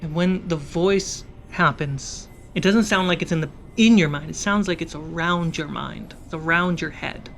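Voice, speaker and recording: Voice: mid-range voice, vocal fry, a bit bright, soft background music. A game master narrating a tabletop fantasy game in short, suspenseful, dramatic phrases. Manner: slow, suspenseful scene-setting with short phrases.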